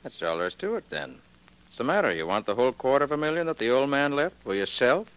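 A man's voice: mid-range voice, big pitch swings, fast, a bit bright, clear, resonant tone, low-quality recording. A man playing a cocky, needling crook with a tough, slangy manner in a 1940s radio drama. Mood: taunting. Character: cocky, needling male crook posing as long-lost brother.

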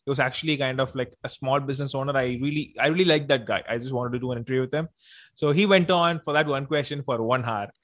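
Halting, rushed male podcast host. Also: mid-range voice, a bit bright. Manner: rushed, halting, many restarts and laughs. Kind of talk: casual interview chat.

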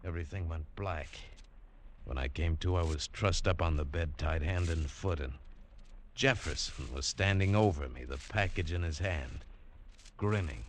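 A man's voice: very deep voice, normal speed, slight background noise. An actor playing a tough male adventurer who narrates his own story in a wry, terse manner. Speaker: narrator and lead. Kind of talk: 1950s radio drama acting. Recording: old radio broadcast with music under it.

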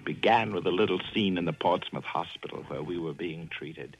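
A man's voice: low voice, normal speed, slightly rough, faint music behind, rough recording. An actor playing a ruthless, starving castaway who becomes a murderer and narrates his own story in 1940s radio drama style. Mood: brooding. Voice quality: hard-edged, brooding first-person narration. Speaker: narrator and lead character. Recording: hissy old radio broadcast recording.

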